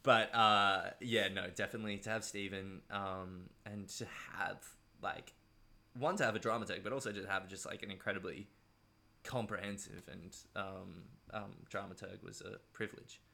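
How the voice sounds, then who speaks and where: Male interview guest, low but bright and forward voice, conversational, meandering and hesitant delivery. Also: steady tone, moderate pace, gravelly.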